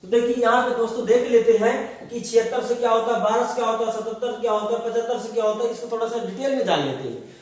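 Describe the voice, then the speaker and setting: A man's voice: very high-pitched, fast talker. A man teaching a class, explaining step by step and asking and answering his own questions. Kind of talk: classroom lecture. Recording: distant, very echoey room.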